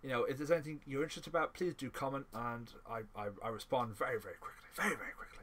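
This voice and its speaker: Male podcast host, mid-range voice, informal and halting, tends to repeat himself. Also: medium pitch, a bit bright. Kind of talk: casual chat.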